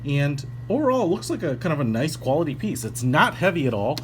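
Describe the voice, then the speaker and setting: A man's voice: on the high side, very animated, brisk. A man giving a casual, ad-libbed product review in an informal, chatty way with a bit of slang. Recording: clearly noisy recording with hum.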